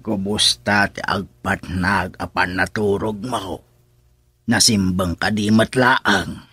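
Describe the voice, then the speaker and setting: An actor playing a calm, kindly middle-aged farmer father in an Ilocano radio drama, speaking gently with an Ilocano accent. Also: low-pitched, slow pace, faint music behind, exaggerated voice. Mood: calm, homely.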